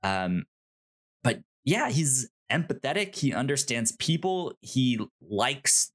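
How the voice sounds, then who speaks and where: A man chats casually as a podcast co-host, his pitch swinging widely as he talks. His delivery is halting, with repeated stutters at the starts of words. The tone is informal discussion. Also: sing-song, fairly bright tone. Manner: halting, stuttering on initial sounds.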